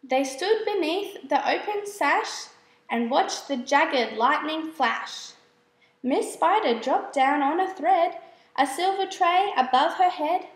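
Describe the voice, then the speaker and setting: A woman reading children's verse aloud as a storyteller, in a measured, expressive way. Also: sits high, reverberant. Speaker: storybook reader. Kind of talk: scripted children's verse narration.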